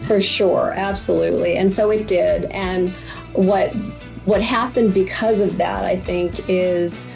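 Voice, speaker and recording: Middle-aged American female guest, writer, creaky lower register, reflective and hesitant. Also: fairly even intonation, unhurried, darkish tone, soft background music, low-quality recording. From Boston, United States. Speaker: author, interview guest. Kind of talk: reflective interview talk.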